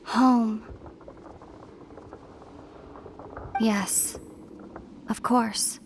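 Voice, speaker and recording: An actress playing a calm young woman who speaks in a solemn, measured way. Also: mid-range voice, slight background noise, close to an everyday voice. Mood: tender. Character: calm young woman, the traveler's lost sister. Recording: faint game music behind.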